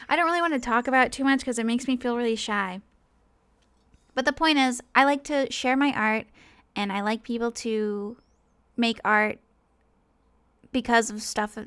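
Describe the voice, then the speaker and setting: A woman's voice: fairly high pitch, a bit bright, dry recording. A woman giving a casual, rambling personal monologue as an online creator, speaking informally and earnestly, often hesitating and interrupting herself. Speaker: online animator and video creator.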